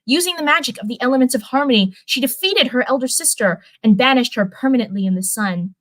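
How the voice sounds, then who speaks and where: A woman's voice: a little high, fast, a bit bright, rough recording. Young woman reading and chatting casually. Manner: rambling, informal, frequent self-corrections. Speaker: livestream host reading fan fiction. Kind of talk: casual livestream chat and story read-aloud.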